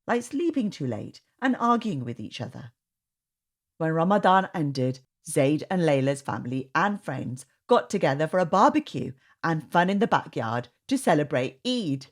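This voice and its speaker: A woman reading a storybook aloud to children in an expressive, sing-song way. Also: sits low. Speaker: storytime reader. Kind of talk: children's read-aloud narration.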